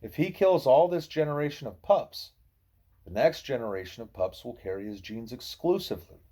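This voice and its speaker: A man reading a novel of dialogue aloud in a soft, husky voice, with a measured, explanatory and expressive delivery. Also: medium pitch. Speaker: audiobook reader. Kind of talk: scripted narration of a novel in dialogue.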